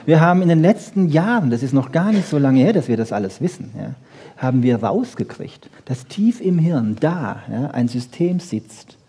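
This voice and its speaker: Guy doing a pop science lecture, kinda high but mellow n husky, dry humor, keeps asking questions. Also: sing-song, normal speed. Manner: wry humour, rhetorical questions, frequent "ja?" tags. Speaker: lecturer on brain research. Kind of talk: lively popular science lecture to an audience.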